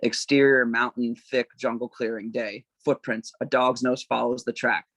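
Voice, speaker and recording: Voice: mid-range voice, flat delivery, normal speed, fairly bright tone, dry recording. Table-read narrator, close dry sound, informal delivery of screenplay directions. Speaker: narrator reading stage directions.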